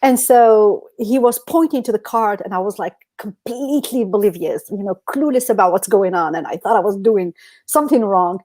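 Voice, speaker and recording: A middle-aged woman, speaking English as a later language and telling stories in an expressive, informal way as a podcast co-host. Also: medium pitch, moderate pace. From Algeria. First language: not English. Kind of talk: casual conversational storytelling.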